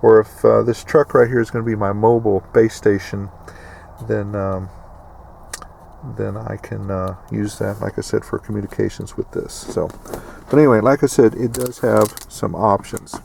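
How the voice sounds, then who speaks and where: A middle-aged American man with a lowish, gravelly voice talks casually through a piece of gear. He rambles in a folksy, explanatory way, like a host addressing his viewers. Vehicle and ambient noise sits behind him. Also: steady tone, normal speed, darkish tone. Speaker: prepper video host. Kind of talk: casual explanatory product walkthrough.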